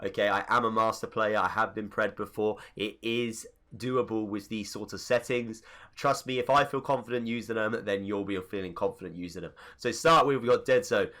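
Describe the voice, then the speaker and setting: A man's voice: fairly low pitch, a little fast, fairly bright tone. A man with a stuffy, congested voice from a cold, giving a chatty game settings tutorial with rambling asides. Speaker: gaming YouTuber. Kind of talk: casual settings tutorial voice-over.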